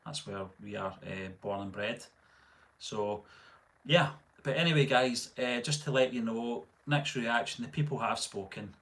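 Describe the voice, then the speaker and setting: A middle-aged man with a Scottish accent, hosting a casual live stream and reading out viewer comments in a relaxed, rambling way full of fillers and restarts. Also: mid-range voice, measured pace. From Scotland. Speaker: channel host.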